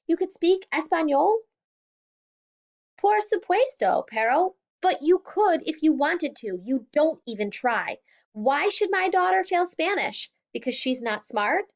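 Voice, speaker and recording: Lady reading strict proud guatemalan dad, pushy and loud-ish, highish voice, muffled audio. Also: lightly acted. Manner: stern, raised, insistent. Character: proud strict Guatemalan father, middle-aged man.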